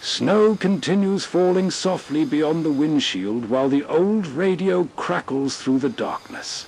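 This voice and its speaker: A man's voice: a little high, low-quality recording. A man narrating as host in a scripted, atmospheric bedtime-radio style. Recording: faint ambient noise behind. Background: soft ambient noise.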